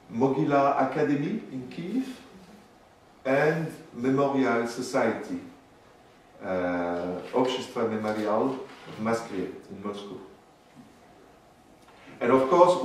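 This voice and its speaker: Halting man in an echoey room. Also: medium pitch. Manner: halting, with frequent fillers and restarts. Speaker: guest lecturer. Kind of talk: public lecture. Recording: roomy, distant mic with faint noise.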